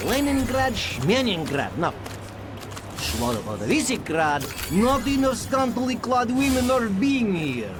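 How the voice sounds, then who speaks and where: A grumbling, lecherous Soviet townsman from a comic video game. His voice is high and very animated, with clear ambient noise around it. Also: a little slow, full character voice. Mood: disgruntled.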